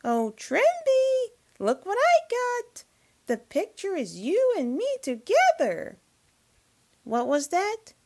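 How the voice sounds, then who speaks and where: A woman voicing a playful, mischievous girl who loves gadgets, excitable and sing-song, for a cartoon demo. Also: extremely high pitch, slow pace, exaggerated voice. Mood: excited, mischievous.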